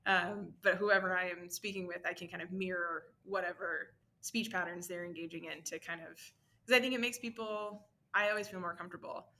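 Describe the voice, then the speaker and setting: A woman answering interview questions in a chatty, animated way. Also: on the low side, fairly quick.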